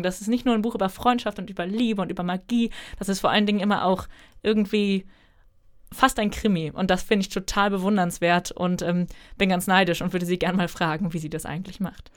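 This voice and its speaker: A young woman in her twenties, a German author, answering interview questions in a chatty, thoughtful way with frequent fillers. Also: medium pitch. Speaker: author guest.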